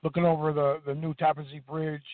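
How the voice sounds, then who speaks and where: A man chatting casually on a group call, halting and breaking off his phrases. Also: fairly high pitch, flat delivery, dry recording. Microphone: phone call. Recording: tinny, dry phone line.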